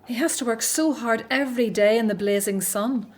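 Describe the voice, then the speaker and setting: A woman's voice: a little high, moderate pace, close to an everyday voice. An actress in a radio drama playing an outspoken, frustrated Hebrew daughter, earnest and pointed as she frets for her family. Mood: worried.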